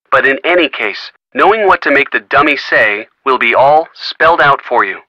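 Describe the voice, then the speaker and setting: A man's voice: fairly high pitch, bright, forceful tone, no echo, dull audio, full character voice. An actor playing a friendly man in a video game who gives folksy puzzle hints with wry little asides. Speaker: hint-giving game character. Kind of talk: recorded video game dialogue.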